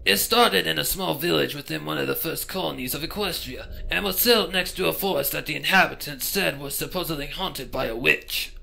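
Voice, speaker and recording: A man voicing a wary, stern religious pony in a raspy voice, telling dark lore in a grave, theatrical way for a story reading. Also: a little high, a little fast, hardly any pauses, faint music behind, voice pushed up, exaggerated voice, rough recording. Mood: ominous.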